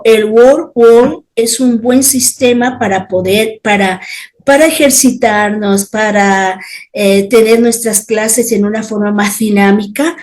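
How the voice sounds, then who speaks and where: A woman from Colombia, a church leader speaking on a panel, animated and tagging her phrases with quick checks to the listener. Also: medium pitch. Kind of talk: panel discussion.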